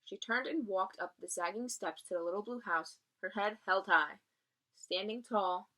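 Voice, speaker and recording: Bright-voiced woman reading a story aloud. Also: mid-range voice, moderate pace, rough recording. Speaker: reader of a children's novel read aloud, explaining as a teacher would. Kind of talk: read-aloud narration with casual explanatory asides.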